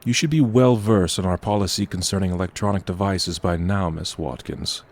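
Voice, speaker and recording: An actor playing a grave, formal representative of a haunted inn in an audio horror drama, speaking in a measured, ominous way. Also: male voice, low voice. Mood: brisk, officious.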